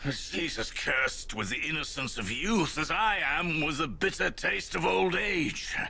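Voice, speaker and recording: An ancient, world-weary old man for a game cutscene. His voice is very husky and cracked with gravel, yet cuts through forcefully. He grumbles bitterly and broods dramatically, dragging out his words. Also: a little high, unhurried, heavily acted. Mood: bitter, brooding. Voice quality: raspy, gravelly, breathy. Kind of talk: video game cutscene voice acting.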